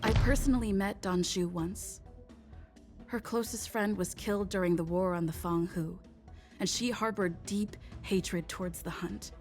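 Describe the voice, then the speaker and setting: A woman's voice: medium pitch, steady tone, moderate pace, natural voice. Actress as careful, earnest young female traveler. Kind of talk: dubbed video game dialogue acting. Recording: music behind the voice.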